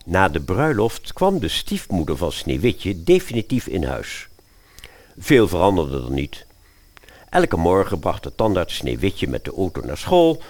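A man's voice: deep voice, wildly swooping pitch, unhurried, darkish tone. A man narrating a scripted fairy-tale radio play as its storyteller.

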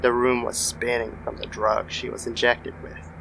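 A man's voice: medium pitch, flat delivery, normal speed, gravelly, pushed and bright, slight background noise, low-quality recording. A man narrating a scripted horror story in the style of old-time radio. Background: background music.

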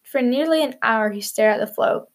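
A woman tells a children's story as narrator and host. Her voice sits a little high in pitch. She reads in a storybook style meant for young listeners. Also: moderate pace.

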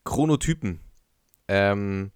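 A young man co-hosting a casual podcast chat in German, halting and restarting often and laughing easily. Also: on the low side, lively intonation, a little mellow, smooth, no room sound, studio quality. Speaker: podcast co-host.

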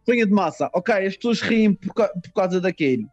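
A man's voice: high-pitched, animated, brisk, a little mellow, lo-fi sound, soft background music. A man from Madeira with a Madeiran Portuguese accent, a comedian chatting casually in a teasing, joking, slangy way. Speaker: stand-up comedian. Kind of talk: casual bantering chat.

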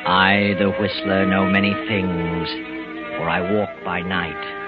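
A very deep, sinister radio narrator. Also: male voice, little pitch movement, takes it slowly. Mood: ominous. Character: sinister, mysterious all-knowing night-walking storyteller. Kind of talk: 1940s radio mystery narration. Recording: dull old radio recording, loud music behind.

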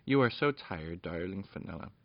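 A man voicing a tender, tearful fairy queen mother, speaking softly and gently while reading a storybook. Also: fairly high pitch, expressive, fairly quick, dry recording, voice pushed up, lightly acted. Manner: soft, tender, hushed. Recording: dull dry lo-fi recording.

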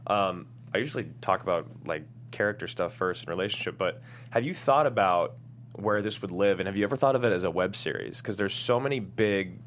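A man's voice: sits low, fairly quick, fairly bright tone. A man chatting casually as a panelist on a talk show. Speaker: panelist and actor, former professional gamer. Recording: faint hum and background noise.

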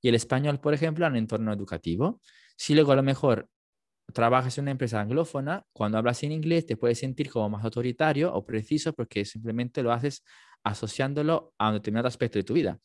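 A young man from Naples, a native Italian speaker and language teacher, talking casually and thinking aloud with many pauses and fillers. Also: medium pitch, darkish tone, no room sound, studio quality. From Italy, Naples. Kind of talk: casual conversation.

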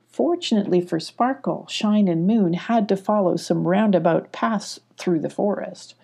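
A woman's voice: medium pitch, moderate pace, vocal fry, dark tone. A woman narrating a children's story from a script.

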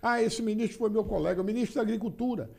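Gravelly man in his seventies. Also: high-pitched, quick pace, noisy background. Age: 70s. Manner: anecdotal, acts out dialogue, repeats phrases. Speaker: politician guest. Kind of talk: casual interview storytelling. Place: studio.

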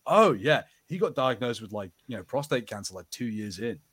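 A man's voice: mid-range voice, very animated, brisk, a bit bright, slightly rough. A man co-hosting a podcast, chatting casually about pop culture and slipping into acted-out bits of imagined dialogue. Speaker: podcast co-host.

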